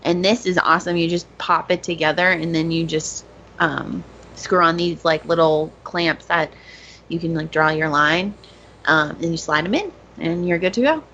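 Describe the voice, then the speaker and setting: A woman's voice: low voice, moderate pace, fairly bright tone, dull audio, a little hiss or hum. A woman co-hosting a podcast in informal, enthusiastic casual chat. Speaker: podcast co-host.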